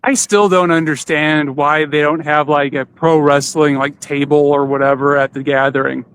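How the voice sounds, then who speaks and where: Male podcast host, lightly higher pitch, casual chat, some room noise. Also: fairly even intonation, moderate pace, fairly bright tone.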